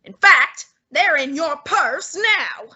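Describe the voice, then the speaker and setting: A woman plays a heavyset male detective genius who speaks in an unhurried, commanding way, talking down to everyone in the room. The voice sits high and bright for the part. Each word is placed with lordly patience. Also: animated, full character voice. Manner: measured, commanding, condescending. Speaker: student actor. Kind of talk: student radio drama acting.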